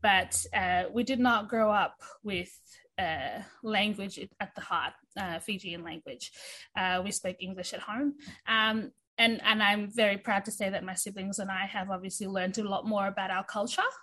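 A woman's voice: mid-range voice, steady tone, normal speed, bright, forceful tone. A woman, talking casually as an interview guest, chatty with plenty of fillers. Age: 30s. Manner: chatty, many fillers, laughs easily.

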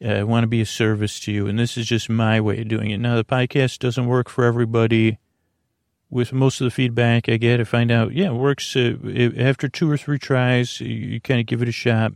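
A man hosting a bedtime podcast, talking in a meandering, gentle way full of fillers and restarts. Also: medium pitch, level tone, brisk, creaky, no room sound, studio quality. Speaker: podcast host. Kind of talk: rambling soothing bedtime podcast talk.

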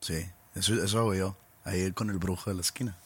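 A man's voice: deep voice, fairly even intonation, quick pace, music in the background. A man with a Mexican accent co-hosting a casual podcast, telling rambling stories and joking. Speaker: podcast co-host. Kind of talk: casual chat.

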